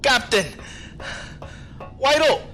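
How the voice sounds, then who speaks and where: A man acting as a cautious hired security man in a space radio play, with a bright, forceful voice that swings widely in pitch. He talks in a chummy, colloquial way, respectful yet familiar, with music behind him. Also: expressive, very slow, exaggerated voice. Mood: eager. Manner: colloquial, deferential but familiar, calling people sir and mate. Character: cautious, chummy male security contractor with war robots. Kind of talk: audio drama acting.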